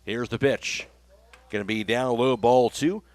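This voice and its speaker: A man's voice: medium pitch, fairly even intonation, a little slow, darkish tone. A man working as a radio play-by-play announcer, calling baseball and reading sponsor spots. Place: live radio broadcast from a ballgame.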